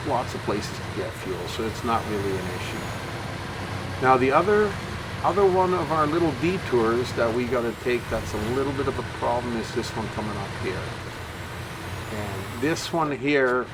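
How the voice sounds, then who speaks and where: A man with a medium-pitched voice hosts a gameplay video in a casual, folksy way. He explains each move step by step. Heavy engine and vehicle noise runs loudly behind his voice. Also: lively intonation, normal speed. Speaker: gaming channel host. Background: truck engine sounds from the video game.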